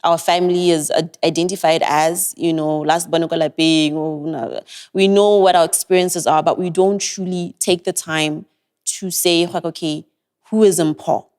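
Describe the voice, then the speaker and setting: A woman's voice: lowish voice, fairly even intonation, normal speed, darkish tone. Reflective woman with an American-sounding accent. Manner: reflective, earnest advice with emphatic repetition. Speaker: guest. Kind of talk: podcast interview.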